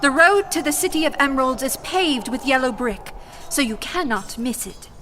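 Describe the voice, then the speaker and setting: An actress playing an earnest, protective good witch in an audio drama, with brisk, formal, theatrical phrasing. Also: sits high, animated, noisy background. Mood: solemn, instructing. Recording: music behind the voice.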